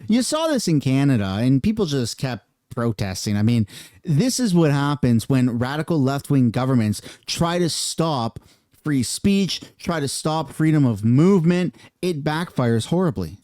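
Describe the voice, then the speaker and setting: A man's voice: mid-range voice, very animated, measured pace, a little mellow, no echo, clean studio sound. A man ranting in a heated, casual reaction commentary over political clips, using slang.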